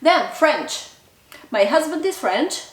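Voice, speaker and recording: A woman's voice: high voice, big pitch swings, slow pace. Woman in a roomy, echoey space. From Bulgaria. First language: Bulgarian. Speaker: language channel host. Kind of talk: casual talk to camera. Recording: roomy echo, distant mic.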